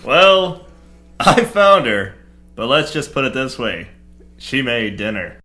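A man's voice: mid-range voice, big pitch swings, takes it slowly, heavily acted. An actor playing a pompous, violent macho adventurer, narrating his exploits melodramatically in a pulp radio drama. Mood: smug, grave. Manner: bombastic, melodramatic. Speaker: narrating hero. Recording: loud music and crowd noise behind. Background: loud music and crowd.

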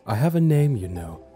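Animated series: popular charmer, relaxed mellow voice, gently teasing. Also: male voice, mid-range voice, slightly rough, exaggerated voice. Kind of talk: animated roleplay voice acting.

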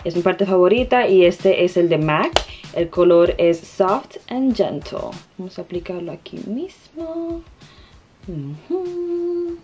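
A woman's voice: fairly low pitch, big pitch swings, takes it slowly, a little mellow, soft background music. A young woman from the Dominican Republic with a Dominican Spanish accent, chatting through a makeup tutorial at home, hesitant and searching for words. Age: young adult. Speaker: beauty vlogger. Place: bedroom, indoors.

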